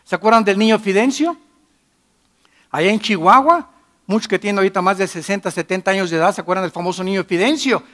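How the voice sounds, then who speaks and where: Emphatic pastor with high voice. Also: male voice, fast. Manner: emphatic, swings between loud and hushed. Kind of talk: sermon.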